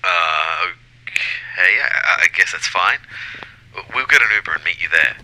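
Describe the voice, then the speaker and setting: A man's voice: sits low, expressive, measured pace, sharp tone, full character voice, low-quality recording. A man playing a helpful, practical ghostly go-between in an audio drama, speaking casually. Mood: hesitant. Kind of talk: audio fiction acting.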